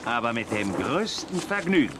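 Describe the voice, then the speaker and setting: An actor playing a determined, level-headed sheriff in a Western radio play, decisive and matter-of-fact. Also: male voice, mid-range voice, big pitch swings, normal speed, close to an everyday voice. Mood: resolute. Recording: noisy outdoor sound effects.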